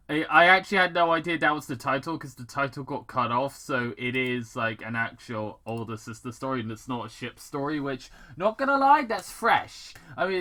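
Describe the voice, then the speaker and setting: A man's voice: on the high side, pushed and bright. A man hosting a casual fan fiction read-aloud, stumbling over lines and adding sardonic, informal side comments. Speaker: host reading fan fiction.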